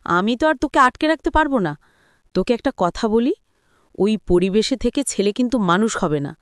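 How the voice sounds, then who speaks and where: A woman's voice: a little high, animated, moderate pace, no echo, clean studio sound, close to an everyday voice. A woman voicing a domineering, resentful middle-aged mother in an audio story, nagging and snobbish as she scolds. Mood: reproachful.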